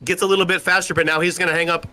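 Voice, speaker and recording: Loud, fast esports play-by-play man. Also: high voice. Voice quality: loud, powerful voice. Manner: rapid, energetic play-by-play. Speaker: esports caster. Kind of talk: play-by-play commentary.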